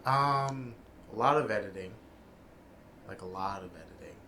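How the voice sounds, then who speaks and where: A young adult man co-hosting a casual podcast, talking in a halting, hesitant way with many fillers and restarts. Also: lowish voice, pushed and bright, slight background noise. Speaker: podcast co-host and video editor. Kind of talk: casual chat.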